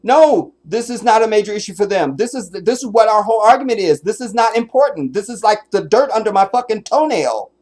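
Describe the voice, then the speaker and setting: Brief: male streamer, slightly high voice, blunt and profane, hammering his points. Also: animated, brisk, hardly any pauses, a little mellow. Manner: blunt, emphatic, swears often. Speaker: streamer commenting on a clip. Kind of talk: profane reaction commentary.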